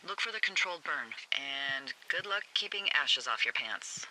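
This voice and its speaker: Bright, wry American woman on walkie-talkie. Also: extremely low pitch, animated, normal speed, slight background noise, heavily acted, low-quality recording. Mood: uneasy. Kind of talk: naturalistic video game voice acting.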